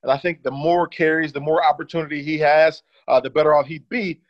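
A man in his thirties with a slightly higher voice breaks down football film in a relaxed, conversational way. His audio is dry and a little muffled, like a video call. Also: fairly quick, no room sound. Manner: relaxed, leans on "certainly" and "uh". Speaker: former running back, analyst guest.

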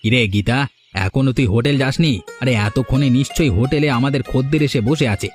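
A man's voice: mid-range voice, fast, a little mellow, soft background music, lightly acted. A man voicing an arrogant, domineering village hotel owner in a cartoon, boastful and taunting. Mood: bossy scolding. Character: arrogant, money-proud village hotel owner, harsh boss. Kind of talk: Bengali village cartoon dialogue.

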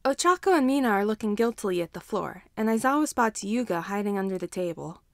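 A woman narrates fiction from a script in a medium-pitched voice. The recording is close and dry, with very little room sound around her. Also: lively intonation, fast talker, no room sound. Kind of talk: scripted narration, audiobook reading of fan fiction.

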